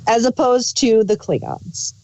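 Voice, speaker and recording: A woman's voice: on the high side, big pitch swings, unhurried, gravelly, dark tone, slight background noise, poor audio. A woman chatting casually as the co-host of a review show. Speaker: podcast co-host reviewing audio drama.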